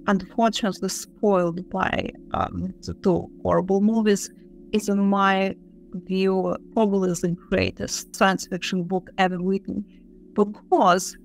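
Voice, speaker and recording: A woman's voice: mid-range voice, rough recording. A middle-aged woman giving unscripted commentary as an academic expert in an interview. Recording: music behind the voice.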